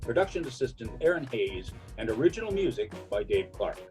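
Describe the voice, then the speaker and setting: A man's voice: medium pitch, sing-song, brisk, a little mellow, soft background music. A man reading scripted production credits as an announcer.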